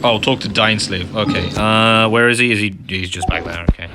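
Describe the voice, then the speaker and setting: A man's voice: fairly low pitch, fairly even intonation, a little fast, music in the background. A man casually commenting while playing through a game's story quest, muttering asides to himself. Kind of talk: casual let's-play commentary. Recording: commentary over loud game audio.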